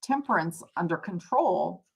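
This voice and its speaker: A woman's voice: fairly low pitch, expressive, measured pace, gravelly, breathy edge, rough recording. A woman hosting a casual live tarot reading, talking to viewers in a conversational, reflective way and rephrasing as she explains. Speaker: tarot reader, livestream host.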